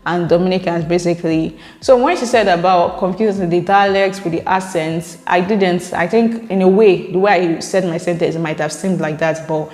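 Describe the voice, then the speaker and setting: A young woman with a Nigerian accent talks to her viewers in a relaxed, chatty way and explains her points at length. Her voice sits low for a woman. The recording is roomy, with a long reverb trailing each phrase. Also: moderate pace, few pauses. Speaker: vlogger reading viewer comments. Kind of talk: casual chat to camera. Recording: echoey room with long reverb.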